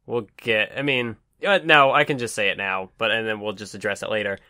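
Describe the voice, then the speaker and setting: An easygoing man chatting on a podcast, hesitant at times. His voice is bright and forceful, recorded dry and close. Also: on the low side, fairly even intonation, brisk, no room sound. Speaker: podcast co-host. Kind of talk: casual chat about manga.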